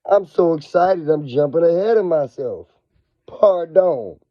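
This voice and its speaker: A man with a scratchy, raspy voice from a cold talks casually to his viewers about money. He drags his words out slowly, dropping to low murmurs and then rising in excited bursts. The sound is dry and close but somewhat dull, like a lo-fi home recording. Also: dry recording. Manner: slow, drawn-out delivery that swings between low murmurs and excited bursts. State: hoarse from a cold. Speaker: finance vlogger. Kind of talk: casual video monologue.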